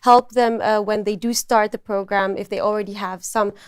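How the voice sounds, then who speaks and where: A woman's voice: mid-range voice, fairly even intonation, moderate pace, smooth, no echo, studio quality. A woman speaking as a student recruiter, giving orderly, informative explanations on a talk show.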